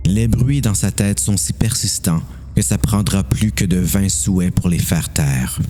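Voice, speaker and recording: A man's voice: deep voice, a little slow, dark tone, a little hiss or hum. A man narrating a scripted story for an amateur audio drama.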